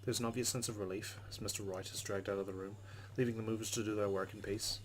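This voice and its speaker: A man's voice: fairly low pitch, flat delivery, fast. A man hosting a let's play, reading game text aloud and adding casual commentary.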